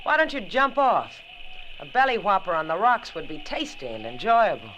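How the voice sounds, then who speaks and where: A hardboiled, defiant adventuress in 1940s radio drama style. Her sarcastic lines swing widely in pitch, with a sharp, forward tone. Faint noise sits on the old recording. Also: very animated, moderate pace, highs cut off. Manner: sardonic, taunting. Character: defiant, tough, sarcastic female adventuress held captive.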